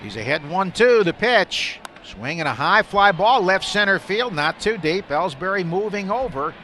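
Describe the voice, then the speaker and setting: A man's voice: sits high, normal speed. A man calling a baseball game live on the radio as the play-by-play announcer, from a booth at the ballpark. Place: broadcast booth at an outdoor ballpark. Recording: steady background noise of ballpark. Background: stadium ambience.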